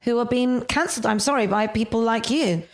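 A woman hosts a spoof radio show with a pompous, leading manner. Her voice sits a little high. She talks briskly and pushes her guests along. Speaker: host.

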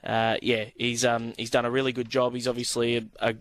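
A man's voice: medium pitch, brisk, no room sound, studio quality. An Australian man co-hosting a harness racing podcast, chatting casually with lots of hesitations and fillers. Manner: hesitant, filler-heavy, even-toned.

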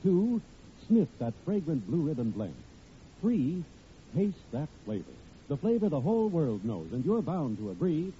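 A man's voice: on the high side, very animated, a little slow, mellow. A man announcing in scripted 1940s radio advertising style, with salesmanlike emphasis. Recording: muffled old radio recording, faint noise.

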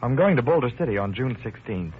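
An actor playing a good-natured young farm son, eager to set off, in a 1930s-style radio drama. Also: male voice, mid-range voice, sing-song, fast, breathy edge. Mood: reading a letter. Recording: thin, narrow, faintly noisy old radio.

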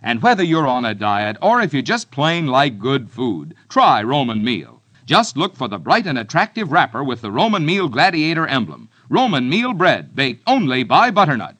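A man reading a radio advertisement in a smooth, persuasive 1950s announcer style. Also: mid-range voice, very animated, normal speed, few pauses, a bit bright, no room sound. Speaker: commercial announcer.

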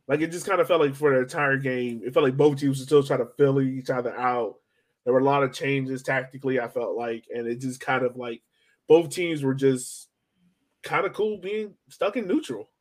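A man's voice: mid-range voice, normal speed. A man hosting a sports podcast in a casual, chatty way, often rambling, hedging and repeating himself as he goes.

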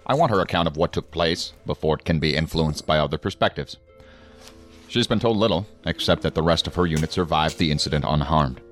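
A commanding middle-aged boss of federal agents with a low voice. He speaks formally and with clipped authority, as in a sci-fi audio drama, with music clearly underneath. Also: fairly quick. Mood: brisk, businesslike. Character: stern, commanding middle-aged male federal agency superior. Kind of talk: science fiction audio drama acting.

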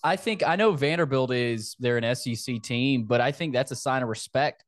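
A man's voice: medium pitch, moderate pace, no room sound. An American man hosting a sports podcast, talking through a football preview in a casual, conversational way.

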